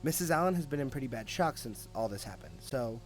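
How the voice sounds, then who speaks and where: A man's voice: medium pitch, expressive, a little fast, a little hiss or hum, lightly acted, rough recording. A man acting a confident, cynical police detective with a sardonic, matter-of-fact manner in an audio drama. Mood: sardonic, assured.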